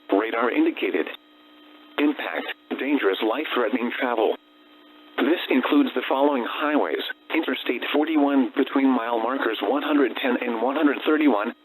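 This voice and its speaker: A synthetic male announcer reads out an automated weather warning in a formal, segmented way. His pitch is mid-range and the delivery is flat. The weather radio broadcast carries light noise. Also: poor audio. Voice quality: synthetic text-to-speech voice.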